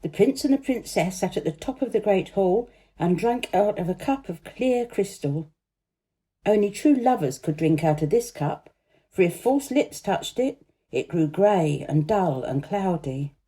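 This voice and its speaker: A woman's voice: lowish voice, expressive, unhurried, dark tone. A woman narrating a children's story expressively as a storyteller.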